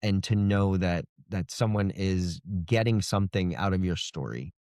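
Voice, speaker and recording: Earnest, lower-voiced man chatting. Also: normal speed, darkish tone, clean tone, no room sound, clean studio sound. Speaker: guest, indie fantasy author. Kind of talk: casual interview chat.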